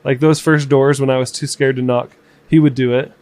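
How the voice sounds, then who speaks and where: A man's voice: mid-range voice, fairly even intonation, brisk, darkish tone, dry recording. A man in his thirties, co-hosting a casual home podcast, telling a long story with many fillers and restarts. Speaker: podcast co-host. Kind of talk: casual chat. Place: home room.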